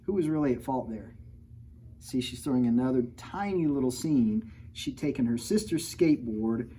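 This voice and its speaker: A man's voice: mid-range voice, animated, normal speed, mellow, slightly rough. A man reading a picture book aloud to young children as its author, with joking, informal asides spoken straight to them.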